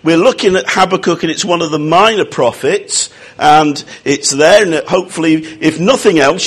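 A man preaching a sermon, croaky from a cold, with jokey, self-mocking asides. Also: a little high.

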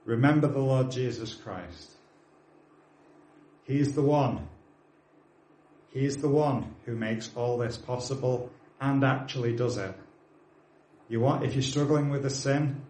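A British man in his forties preaches to his congregation, earnest and exhorting, with a mid-pitched voice. He slips easily into friendly asides. The sound rings around a roomy church space. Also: takes it slowly, pauses often, a little mellow. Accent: British English. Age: 40s. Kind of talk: sermon.

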